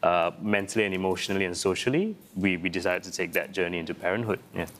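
A man's voice: low-pitched, fast, a bit bright, a little hiss or hum. A man giving a personal account as a discussion guest. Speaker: panel guest.

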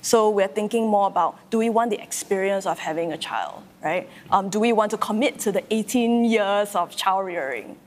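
A woman's voice: medium pitch, moderate pace, few pauses. Woman in a reverberant hall. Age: 30s. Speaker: panel guest. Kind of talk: personal account in discussion.

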